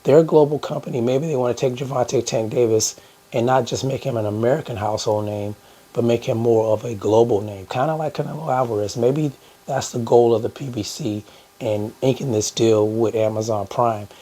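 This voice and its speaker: A hoarse man hosting a boxing news channel, talking casually to his viewers with lots of fillers. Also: medium pitch, steady tone, fairly quick, warm tone. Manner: conversational, many "uh" fillers, addresses "guys". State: hoarse from a cold. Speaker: boxing YouTube host.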